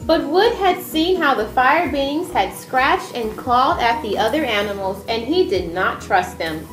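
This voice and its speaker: A woman's voice: on the high side, animated, a little slow, a bit bright. A woman reading aloud as the narrator and presenter of a stage performance. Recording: echoey room with music over it.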